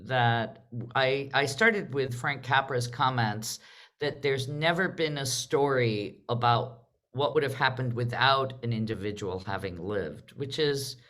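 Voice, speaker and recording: Theatre talk host, medium pitch, chatting with a panel and tossing out questions. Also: measured pace, a bit bright. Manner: prompts others with questions. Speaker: host and moderator of a theatre discussion. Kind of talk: live panel discussion, conversational.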